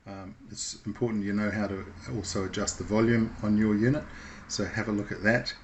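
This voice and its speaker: A man with a somewhat low voice speaks as an instructor. He explains things informally and in a measured way, pausing hesitantly as he goes. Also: level tone, lo-fi sound, slight background noise.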